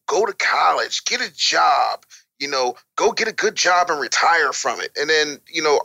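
Bright, forceful man talking bluntly. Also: medium pitch. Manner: blunt, wry, emphatic with rhetorical questions and lists. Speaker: interview guest, author and radio producer. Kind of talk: conversational interview, opinionated explaining.

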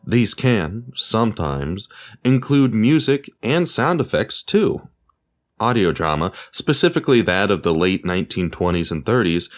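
A man presenting a podcast as its host, reading scripted narration in an explanatory way. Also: on the low side. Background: music.

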